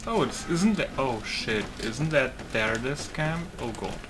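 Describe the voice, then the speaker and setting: A man's voice: mid-range voice, expressive, a little slow, background noise. A man giving casual, sweary, off-the-cuff let's play commentary. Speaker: gaming streamer. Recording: loud game music under the voice. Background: loud game music.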